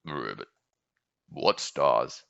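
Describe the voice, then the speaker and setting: Man doing a very deep croaky swamp frog. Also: lively intonation, drawn-out words, a bit bright, no echo, muffled recording, full character voice. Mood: curious. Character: contented homebody swamp frog, friendly and curious. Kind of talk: storybook character voice.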